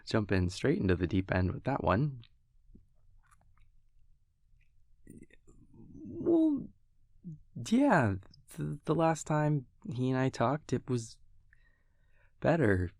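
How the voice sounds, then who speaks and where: A man playing an affectionate, wry vampire boyfriend in an intimate audio roleplay, speaking casually and hesitantly in a low, gravelly voice. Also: wildly swooping pitch, takes it slowly, long pauses, no echo, clean studio sound, full character voice. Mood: wry, incredulous. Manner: hesitant, casual, softly teasing. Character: affectionate, wry male vampire boyfriend, self-deprecating and easygoing.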